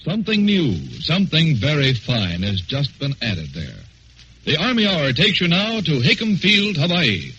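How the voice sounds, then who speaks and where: A man's voice: on the high side, wildly swooping pitch, unhurried, fairly bright tone. A male announcer reading a scripted introduction in 1940s radio style on a muffled old recording with faint noise.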